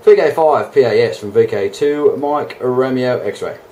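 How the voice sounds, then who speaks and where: A man's voice: mid-range voice, unhurried, darkish tone, slight background noise. An Australian man chatting casually as an amateur radio operator, with a matey, laid-back way of talking. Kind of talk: casual two-way radio chat.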